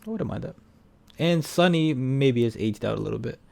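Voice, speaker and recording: A man's voice: medium pitch, expressive, moderate pace, vocal fry, dark tone. A man co-hosting a film review podcast in casual, blunt, slangy conversation. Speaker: podcast co-host reviewing films. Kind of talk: casual chat.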